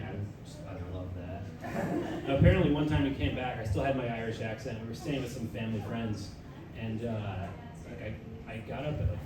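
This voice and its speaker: A young man performing a stand-up comedy set, rambling and self-mocking, with loud punchlines and audience laughter. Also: mid-range voice, recorded from a distance. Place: comedy club stage. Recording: echoey room taped from afar, noisy.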